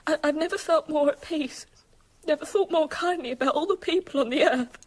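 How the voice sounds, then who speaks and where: An actress playing a gentle, impressionable young maidservant in a radio drama, halting and emotional. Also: female voice, sits high, close to an everyday voice. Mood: dreamy wonder.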